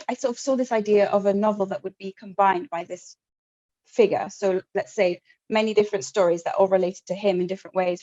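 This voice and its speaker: Mellow-voiced woman author, lo-fi audio. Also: mid-range voice. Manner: measured reading, then halting, thoughtful speech. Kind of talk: reading from her novel, then interview answers.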